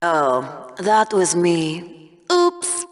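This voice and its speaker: An actress playing a sardonic, gleefully cruel TV co-anchor in a video game, with a mocking, theatrical delivery. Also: female voice, fairly low pitch, huge pitch swings, very slow, exaggerated voice. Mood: mock concern. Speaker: arena co-commentator.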